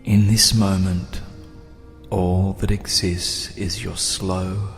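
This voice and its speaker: A man with a low, even voice narrates a guided meditation over faint music. He speaks very slowly and soothingly, leaving long pauses. His phrases settle into soft, breathy endings.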